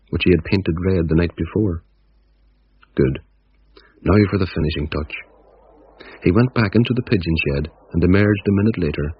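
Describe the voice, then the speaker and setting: A man narrating a radio drama in a measured, reflective storytelling manner. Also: low-pitched. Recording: husky voice on dull radio recording.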